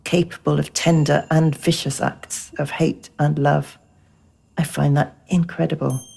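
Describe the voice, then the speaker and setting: Woman narrator for poetic audio piece: very creaky, husky, deliberate. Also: deep voice, little pitch movement, dark tone. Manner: measured, deliberate delivery.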